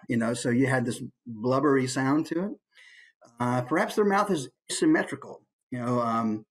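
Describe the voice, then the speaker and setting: A man's voice: a little high, poor audio. A man giving a casual, playful instructional talk as a voice actor. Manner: animated, playful.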